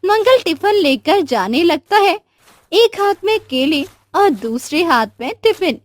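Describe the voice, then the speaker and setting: A woman's voice: extremely high pitch, big pitch swings, unhurried, no echo. A woman narrating a scripted moral story as the storyteller, with music behind her. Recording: music under the voice. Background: background music.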